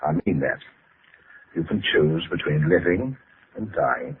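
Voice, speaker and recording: An actor in an old radio drama playing a cold, controlling father who threatens in a formal, measured way. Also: a bass voice, expressive. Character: cold, controlling father calmly blackmailing at gunpoint. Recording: noisy, tinny old radio recording.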